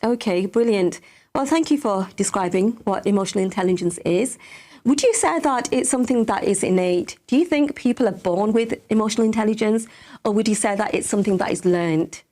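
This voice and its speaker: A woman hosting an interview, her voice croaky from a cold, speaking politely and thanking her guest often. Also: mid-range voice, fairly quick, dark tone. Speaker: host. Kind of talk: hosted interview.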